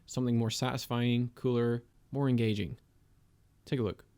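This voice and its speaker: A man giving a scripted voice-over about his own 3D animation project, in a measured, explanatory and lightly self-deprecating manner. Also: medium pitch, fairly even intonation, mellow, clean tone. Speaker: video creator and 3D animator.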